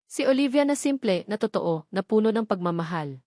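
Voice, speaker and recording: A woman voicing an earnest, devoted young man, a company boss, speaking calmly in a storybook romance. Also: medium pitch, brisk, no echo, clean studio sound, lightly acted. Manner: calm, earnest.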